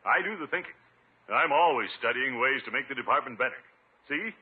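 A man's voice: mid-range voice, animated, brisk, husky. Pompous, blustering radio-comedy man. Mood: self-important. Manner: self-important, chuckling, easily flustered. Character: pompous, blustering middle-aged male water commissioner. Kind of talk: 1950s radio comedy acting. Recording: muffled, noisy old radio recording.

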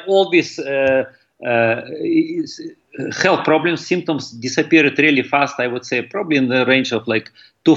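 A middle-aged man speaks at a middle pitch over a somewhat dull, lo-fi line. He answers as a guest in an interview, haltingly and searching for words. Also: a bit bright. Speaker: guest, breathing teacher.

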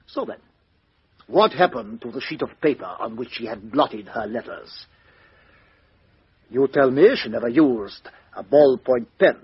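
An actor playing a shrewd, methodical foreign detective in a 1950s radio mystery, speaking in a deliberate, courteous, theatrical way with rhetorical questions. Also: male voice, mid-range voice, expressive, slightly rough. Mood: insistent, probing. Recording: dull lo-fi 1950s radio recording.

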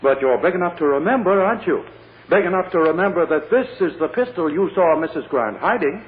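An actor playing a sly, insistent prosecutor in a vintage radio drama, with theatrical, pointed and coaxing questioning. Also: male voice, on the high side, lively intonation, brisk, natural voice. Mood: sly, dramatic. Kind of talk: 1940s radio drama acting. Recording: tinny, noisy old radio recording.

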